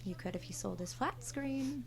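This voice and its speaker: An actress playing a sardonic, self-assured store cashier of thirty in a horror audio drama. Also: female voice, a little high, animated, normal speed, vocal fry. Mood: scheming, sly. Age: 30s. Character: brazen, entitled thirty-year-old store cashier, sly confidante.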